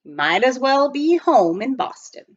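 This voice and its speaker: A woman's voice: sits high, very animated, unhurried, no room sound, muffled recording, pitched above the performer's own voice, exaggerated voice. A woman voicing an earnest, anxious young boy in a storybook, plain-spoken and fretful. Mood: discouraged.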